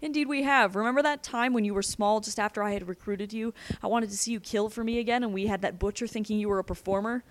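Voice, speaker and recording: A woman's voice: medium pitch, quick pace, few pauses, dry recording, close to an everyday voice. A sinister storybook villain who commands assassins, voiced by a woman. The manner is breezy and falsely kindly, in a dry recording. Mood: gleeful, sinister. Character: genial, sinister male villain, master of assassins.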